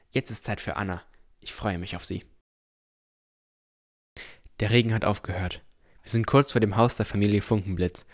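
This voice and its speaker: A man playing an earnest, pensive village boy of sixteen, narrating a fantasy audio drama in a reflective, deliberate way. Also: on the low side, lightly acted. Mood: reflective, solemn.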